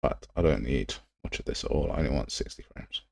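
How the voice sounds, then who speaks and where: A man's voice: a bass voice, expressive, fairly quick, gravelly, no echo, studio quality. A man giving a casual live tutorial, talking to himself as he works and sounding self-deprecating. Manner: muttering to himself while working. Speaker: game development livestreamer.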